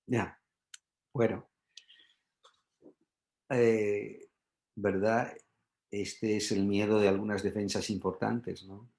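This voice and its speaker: A man's voice: fairly low pitch, level tone, takes it slowly, pauses often, a little mellow. A man giving an explanatory, teacherly talk, often checking in with short rhetorical questions. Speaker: guest expert, psychotherapy trainer and author.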